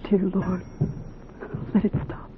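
A woman's voice: takes it slowly, close to an everyday voice. A woman playing an anxious, dutiful operating-room nurse in a 1940s radio drama, breathless and emotional. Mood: anxious, praying. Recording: tinny, noisy 1940s radio recording.

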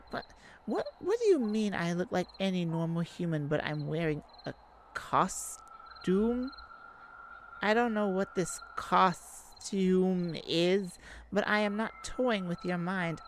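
Woman acting a slow, low-voiced proud alien star. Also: a little hiss or hum. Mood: indignant. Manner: halting, exclaiming, slightly formal wording. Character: wounded fallen-star alien, female, proud, wry, bewildered by humans. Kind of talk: roleplay audio drama addressed to the listener.

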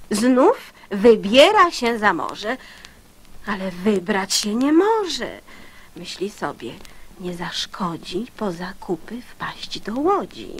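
A woman with a fairly high, husky voice recites children's verse as a storyteller. Her delivery is dramatic and expressive, with lively rises and falls. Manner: dramatic, expressive, with drawn-out phrases.

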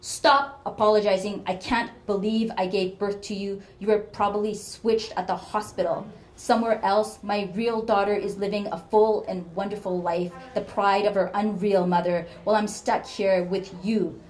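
A woman voicing a cruel, bedridden old mother who snaps and blames her daughter in a shrill, scolding voice. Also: a little slow, darkish tone, lightly acted. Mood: bitter.

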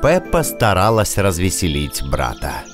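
A woman narrating a scripted children's story as an expressive storyteller. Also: very deep voice, unhurried, over music.

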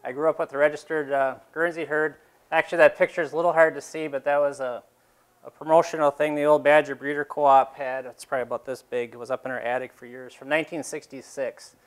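A man's voice: a little high, little pitch movement, quick pace, smooth. A dairy farmer in his fifties giving a casual talk to a seated audience in a large exhibition hall, rambling with fillers and restarts.

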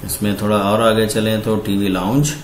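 A man from Pakistan walking through floor plans in a steady, explanatory voice-over, pointing out rooms one by one. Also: on the low side, moderate pace, slight background noise. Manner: steady explanatory, repeating "ye dekhen" while pointing. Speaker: architect presenting house plans. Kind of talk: walkthrough narration of floor plans. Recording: roomy echo, faint ambience.

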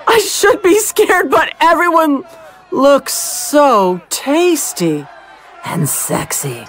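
A woman's voice: high-pitched, big pitch swings, very slow, some chatter behind, slight background noise, heavily acted. A woman playing a veteran war correspondent who is losing her composure during a field report in an audio drama. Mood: mounting hysteria. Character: veteran female war correspondent unraveling into madness.

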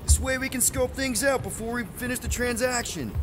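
A man's voice: very high-pitched, a little fast, noisy background. A man playing a cocky, upbeat young space adventurer in a video game, with a breezy, joking manner. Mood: casual bravado.

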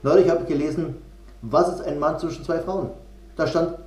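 Male comic, middle-aged, conversational and jokey, a little high in pitch, roomy home-recorded sound. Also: moderate pace, a little mellow. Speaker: comedian and cabaret artist. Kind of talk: comedy monologue to camera. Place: small rooms of a flat, indoors. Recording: echoey room.

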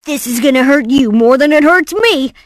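A woman voicing a brainy, cocky, excitable boy inventor in cartoon game clips, with a theatrical, punchy delivery. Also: high-pitched, normal speed, no echo, studio quality. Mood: confident. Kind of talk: animated game voice clips, short exclamations and catchphrases.

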